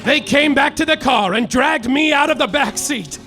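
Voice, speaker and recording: A man playing a hapless hardboiled private detective, narrating in a wry noir deadpan on a live stage. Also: extremely high pitch, moderate pace, over loud music. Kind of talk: live comedic radio-play narration.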